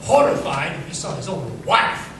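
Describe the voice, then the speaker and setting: An actor playing a bluff, baffled gentleman sidekick in a live 1930s-style radio drama, stammering and exclaiming. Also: fairly high pitch, sing-song, moderate pace. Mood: puzzled, astonished. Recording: distant, echoey live stage recording, noisy room.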